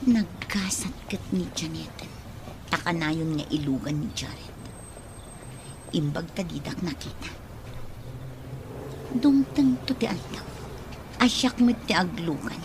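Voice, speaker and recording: A woman's voice: on the low side, lively intonation, takes it slowly, pauses often, noisy background. An actress with an Ilocano Filipino accent playing a distressed, bitter young pregnant woman in an emotional radio drama. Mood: brooding. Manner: emotional, dramatic, tearful outbursts and muttered monologue.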